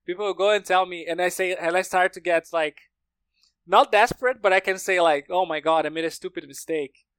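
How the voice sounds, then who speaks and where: A man's voice: high voice, brisk, a bit bright, clear, resonant tone, dry recording. A man from Brazil with a Brazilian accent, a native Portuguese speaker, chatting casually and telling stories with plenty of "like" and "you know". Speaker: podcast speaker, language learner. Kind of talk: casual conversation.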